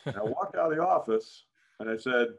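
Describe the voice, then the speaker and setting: A man in his sixties with a deep voice, a guest in a casual interview, telling career stories with many "frankly"s and "uh"s. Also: a little fast, gravelly. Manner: frequent "frankly" and "uh", animated anecdotes. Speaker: interview guest, business founder.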